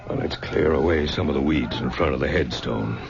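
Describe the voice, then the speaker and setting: A brisk, skeptical lawman in an old radio mystery, firing off questions in a low voice. A loud hiss runs under his dull, muffled recording. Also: vocal fry. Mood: questioning. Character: skeptical lawman in charge of the search. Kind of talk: 1970s radio drama acting.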